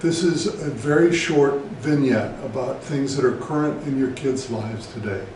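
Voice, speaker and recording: A man with an American accent, a therapist presenting scripted educational advice in a measured, deliberate way. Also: mid-range voice, a little mellow. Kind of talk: scripted educational talk to camera. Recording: very echoey room, distant mic, faint noise.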